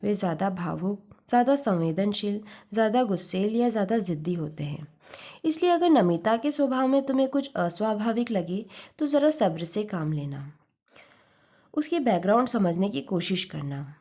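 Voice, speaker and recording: A woman voicing an earnest, protective middle-aged mother in a story reading, speaking in a measured, advising way. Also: medium pitch, lively intonation, dark tone. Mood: earnest, calm.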